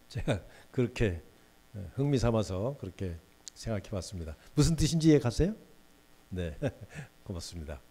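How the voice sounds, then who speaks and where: A man's voice: medium pitch, warm tone. A man in his sixties giving a guest lecture in a university hall, chatty and often putting questions to the audience. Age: 60s.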